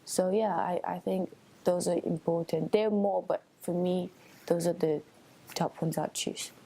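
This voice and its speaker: A young woman from Switzerland speaks in a slightly low voice with a dark, relaxed tone. She answers informally, as a candidate in an interview would. Her delivery is conversational and earnest, working out her thoughts as she talks. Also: a little slow, slightly rough, low-quality recording. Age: young adult. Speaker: student union election candidate.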